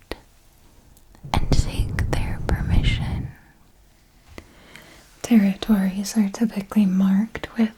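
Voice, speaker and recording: A woman playing an elegant, seductive vampire mentor in a whispered ASMR roleplay, speaking in a deliberate, intimate and formal way. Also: mid-range voice, mellow, close to an everyday voice, low-quality recording. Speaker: ASMR performer.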